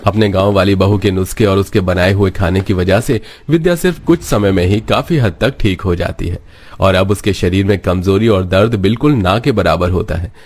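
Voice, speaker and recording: A man's voice: deep voice, normal speed, darkish tone. A man narrating a scripted cartoon moral story as its storyteller.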